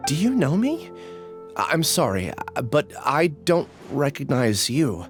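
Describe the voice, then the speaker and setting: A woman's voice: low voice, sing-song, measured pace, faint music behind. A woman playing a timid, earnest young man with amnesia in a video game, hesitant and apologetic. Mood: flustered.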